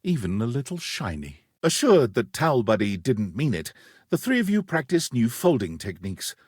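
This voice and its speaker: A man giving scripted narration for a dating game, wry and deliberate, with dramatic pauses. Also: medium pitch, sing-song, darkish tone, breathy edge, dry recording, clean studio sound. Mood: wry, warm.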